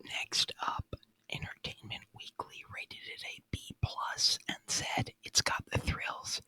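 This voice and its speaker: A man's voice: sharp tone, no echo. Whispering man, close and dry. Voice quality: breathy unvoiced whisper. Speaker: ASMR video host. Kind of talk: whispered ASMR movie review. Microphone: close microphone.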